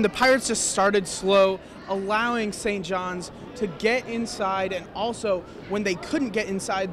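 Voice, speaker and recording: A young man giving an earnest, detailed postgame basketball analysis courtside, speaking into a handheld mic. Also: sits high. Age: young adult. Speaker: student sports analyst. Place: courtside in a large indoor arena.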